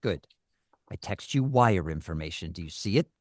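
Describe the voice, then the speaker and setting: A man's voice: low-pitched, lively intonation, normal speed, no echo, studio quality. A man playing a cruel, volatile kidnapper in a script read, curt and commanding. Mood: cold, controlling. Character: menacing male kidnapper, volatile and cruel, on the phone. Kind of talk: dramatic script table read.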